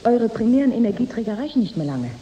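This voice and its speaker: A woman's voice: on the high side, big pitch swings, fast talker, dark tone, reverberant, highs cut off. A woman playing a resolute, defiant businesswoman in a radio drama, speaking in formal, emphatic phrases. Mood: grave, warning. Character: resolute businesswoman Marianne, grave, defiant, warning negotiator.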